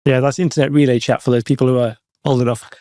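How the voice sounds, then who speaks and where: A male podcast host who drops short, quick remarks into the guest's story to add context. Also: mid-range voice, fairly even intonation, mellow, no echo, clean studio sound. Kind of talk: casual interview chat.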